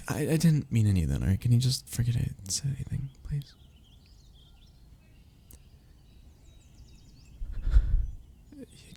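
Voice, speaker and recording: A man acting a lovesick, anxious young man in an intimate romantic audio roleplay, with a halting, emotional delivery and breathy murmurs. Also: medium pitch, warm tone, slight background noise. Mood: panicked, heartbroken. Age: young adult. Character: lovesick, anxious young man confessing to childhood best friend.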